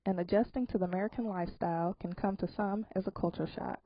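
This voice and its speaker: A woman narrating a college sports feature in a steady, measured reporter's read. The sound is a little dull, and a faint hiss sits underneath. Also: medium pitch. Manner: even, measured news read. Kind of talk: scripted voice-over narration.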